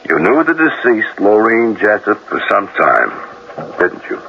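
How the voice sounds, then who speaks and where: Low-voiced, methodical police sergeant. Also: male voice, very animated, unhurried, creaky, heavily acted. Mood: probing. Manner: official, methodical, polite. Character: courteous, probing male police sergeant. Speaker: actor. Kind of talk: 1970s radio drama acting. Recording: muffled old radio recording, faint noise.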